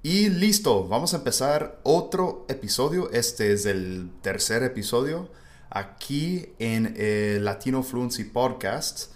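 A man's voice: mid-range voice, animated, slow pace. A man from the United States, a native English speaker, hosting a casual language-learning podcast. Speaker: podcast host. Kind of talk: casual educational podcast conversation.

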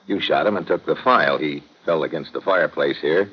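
A tough american detective, low voice, clipped n wry, old radio drama, muffled and noisy. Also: male voice, brisk, slightly rough. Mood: cool, confident. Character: tough, wisecracking male American investigator on dangerous assignments. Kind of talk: 1950s radio drama acting, hard-boiled narration.

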